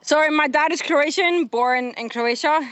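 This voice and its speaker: A woman's voice: high-pitched, normal speed, fairly bright tone, dry recording. A young Scottish woman calling in to a radio show, bubbly and laughing as she chats. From Scotland. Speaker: caller. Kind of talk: casual chat on a radio phone-in.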